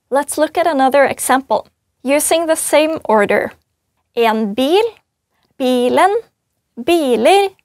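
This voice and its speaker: A woman from Norway presenting a scripted language lesson, speaking clearly and patiently as she spells out word forms. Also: high-pitched, no room sound, clean studio sound. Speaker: language teacher.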